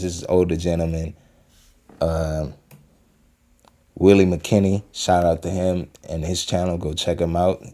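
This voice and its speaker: Low, mellow-voiced young man talking casually. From Western Pennsylvania. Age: 20s. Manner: rambling, repeats himself, many fillers. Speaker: video host reacting to a clip. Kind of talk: casual motivational talk.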